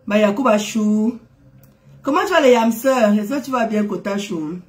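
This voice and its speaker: A woman's voice: medium pitch, normal speed, darkish tone. A woman with a head cold, chatting casually on a live stream, greeting viewers, teasing and exclaiming with frequent laughs.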